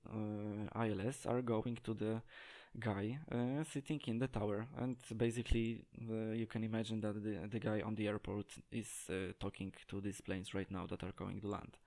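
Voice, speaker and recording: A man giving an unscripted gameplay tutorial, talking in a hesitant, halting way as he searches for words. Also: lowish voice, fairly even intonation, darkish tone. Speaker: game tutorial presenter.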